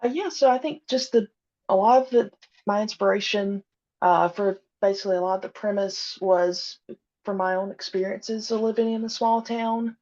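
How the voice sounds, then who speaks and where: Female, thirties, halting conversational interviewee, medium pitch, muffled low-fidelity sound. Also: a little mellow. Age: 30s. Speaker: podcast guest, audio drama creator.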